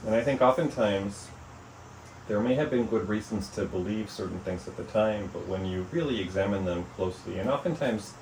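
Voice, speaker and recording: A man with a very low voice talks in a measured, reflective way, often pausing on "um" and "you know". He sits a little back from the microphone in a roomy, echoing space with faint noise underneath. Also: darkish tone. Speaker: author interviewed about his book. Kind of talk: reflective interview conversation. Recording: echoey room, mic at a distance, faint noise.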